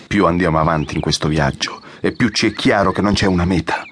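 An actor playing a loyal, war-worn colonel, measured, sombre and respectful, in an audio drama. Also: male voice, low voice, a bit bright, slight background noise. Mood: grave, disillusioned. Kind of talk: Italian audio drama acting.

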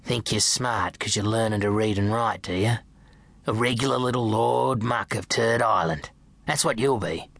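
A spiteful old grandmother, drunk and sneering, her voice gravelly and sharp-edged, with a man doing her voice. The words bite and the tone scoffs. It is close and dry, with no room around it. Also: on the low side, moderate pace, no echo.

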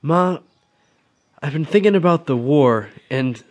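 An actor playing a restless, brooding young Civil War recruit in a radio drama, earnest in manner, with an American accent. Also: male voice, a little high, lively intonation, measured pace, a little mellow. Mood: hesitant. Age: young adult. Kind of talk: radio drama acting and first-person narration.

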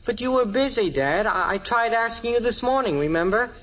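A sheepish teenage son in a 1950s family radio comedy. He rambles in a high voice on a dull vintage recording. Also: animated, a little fast, a bit bright. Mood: sheepish, rambling. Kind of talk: 1950s radio sitcom acting.

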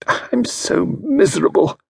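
A man's voice: high-pitched, big pitch swings, creaky, husky, dry recording, clean studio sound, voice pushed up, heavily acted. A man voicing an aggrieved middle-aged wife, bursting out with jealous complaints. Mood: miserable. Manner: rushed, complaining outbursts.

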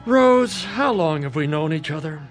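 A man plays a wry doctor in a radio drama, firm and unruffled. His pitch moves expressively, with faint music behind. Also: expressive, moderate pace, natural voice. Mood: dry, firm. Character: dry, firm doctor and old friend of patient. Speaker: actor in an audio drama.

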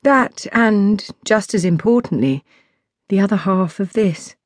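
A woman's voice: medium pitch, expressive, measured pace, no room sound, studio quality, voice pushed up. A woman voicing a lonely, dutiful teenage princess in a storybook, explaining things politely and earnestly.

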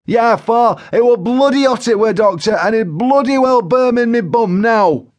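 A man voicing a distressed, bluntly coarse male patient in a comic audiobook, groaning and pleading loudly. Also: sits high, big pitch swings, moderate pace, pitched above the performer's own voice, full character voice. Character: distressed, embarrassingly frank working-class patient on the phone.

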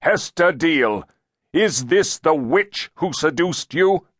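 A man voicing a demon in the body of a stern Puritan elder, loudly and pompously denouncing as commander and accuser. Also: on the high side, lively intonation, husky, no echo, clean studio sound, pitched above the performer's own voice, exaggerated voice. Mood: stern, accusing. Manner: loud, slow, pompous denunciation.

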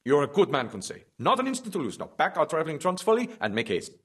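A man's voice: fairly high pitch, huge pitch swings, fast talker. A man playing an eager French professor who narrates his sea adventure in a formal, theatrical audiobook drama style. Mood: earnest. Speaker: narrator and lead actor.

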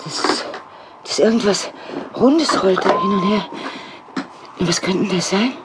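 A woman's voice: mid-range voice, measured pace, heavy background noise, natural voice. An actress playing an easily frightened, stubborn village woman in a horror audio drama, stammering and repeating her words. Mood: curious. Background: music.

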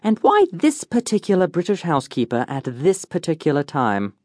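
A man's voice: on the high side, huge pitch swings, moderate pace, a little mellow, no echo. An eccentric detective of comic radio drama. He declaims with pompous certainty, his voice leaping theatrically across a wide range. The sound is dry and close. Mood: pondering. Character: eccentric, pompous male detective, absurdly sure of his deductions.

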